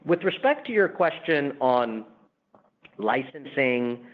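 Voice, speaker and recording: A man's voice: medium pitch, very animated, slow pace. A man speaking as a company executive, explaining the business emphatically and semi-formally in answers on an earnings call. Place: conference call. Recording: muffled, band-limited conference call line.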